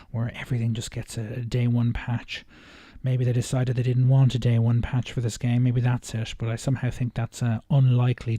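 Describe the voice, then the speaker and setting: A man's voice: medium pitch, mellow, dry recording, studio quality. A man giving casual, unscripted video game commentary as a reaction video host, hesitant and rambling, often circling back to rephrase.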